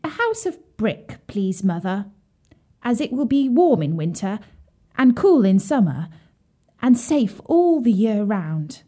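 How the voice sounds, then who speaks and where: A woman voicing a sensible, polite young boy pig in a higher voice. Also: sing-song, slow pace, dark tone.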